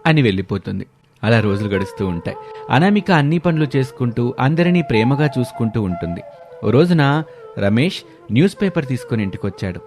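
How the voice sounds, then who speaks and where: A man's voice: mid-range voice, big pitch swings, fairly quick, a little mellow, faint music behind. A man narrating a scripted moral family story as a storyteller.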